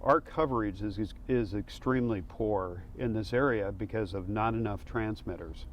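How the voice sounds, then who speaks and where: Male public safety official, lower register, plain halting explanations, slight background noise. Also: dull audio. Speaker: fire service official. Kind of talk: explanatory interview answers.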